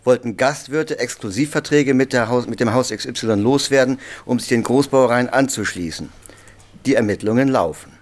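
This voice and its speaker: A man's voice: mid-range voice, normal speed. A man reading scripted audio drama narration.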